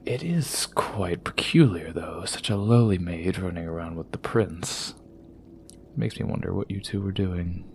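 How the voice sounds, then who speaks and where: An actor playing a secretive young man who claims to be the hero, speaking softly and insinuatingly in an audio drama. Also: low-pitched, animated, measured pace, slight background noise. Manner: soft, insinuating, confiding.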